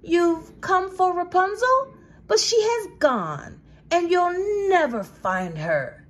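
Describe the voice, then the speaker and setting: A woman voicing an angry, gloating old witch in a storybook, taunting loudly. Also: very high voice, sing-song, takes it slowly, voice pushed up, full character voice.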